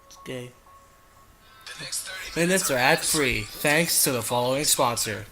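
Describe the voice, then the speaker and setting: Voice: mid-range voice, measured pace, music in the background. A host casually reading fan fiction aloud and rambling off into irreverent, giggly side comments. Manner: giggly, irreverent, easily sidetracked.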